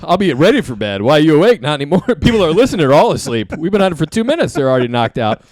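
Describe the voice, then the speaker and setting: A young man, a comedian guest, joking in a self-mocking, animated way in casual podcast banter. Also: fairly high pitch, very fast, no room sound, studio quality.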